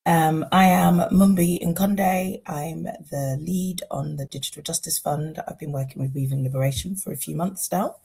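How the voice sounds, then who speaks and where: A woman from Zambia opens an online session on a video call and lays out the agenda in a calm, organized way. Her voice is very husky and gravelly with a dark, mellow tone. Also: low-pitched, moderate pace, few pauses. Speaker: fund lead and facilitator. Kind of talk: online info session introduction.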